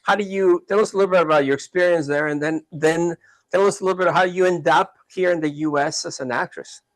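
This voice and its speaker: Male live interview host, non-native English speaker, somewhat high-pitched, dry sound, halting conversational questions. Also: fairly even intonation, dry recording, low-quality recording. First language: not English; English is second language.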